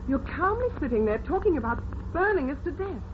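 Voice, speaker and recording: A woman's voice: high-pitched, big pitch swings, a little fast. An actress playing a frightened female captive in an old-time radio drama, pleading with emotional indignation. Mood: horrified, pleading. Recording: tinny, noisy old radio recording.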